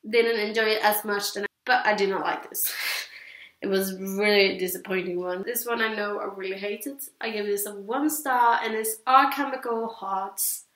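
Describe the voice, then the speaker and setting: A woman's voice: mid-range voice, steady tone, moderate pace, a bit bright. A young woman from Sweden, a non-native English speaker, chatting casually about her opinions in a hesitant, rambling, repetitive way. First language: Swedish. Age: teens to young adult. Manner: hesitant, rambling, repeats herself. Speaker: book reviewer. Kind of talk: casual vlog chat. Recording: echoey, roomy sound.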